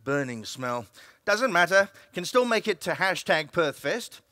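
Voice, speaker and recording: A man's voice: high voice, sing-song, a little slow, sharp tone, no echo, studio quality, exaggerated voice. A man performing an emphatic, dramatic reading of a comic story as an actor. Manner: theatrical, emphatic delivery.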